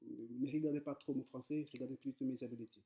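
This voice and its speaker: A man explaining code to his viewers in French, which is not his first language. He hesitates often, repeats words and fills pauses. His pitch sits in a middling range, and his way of talking stays informal and direct. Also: steady tone, lo-fi sound. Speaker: coding tutor. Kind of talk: casual screen-recorded coding tutorial.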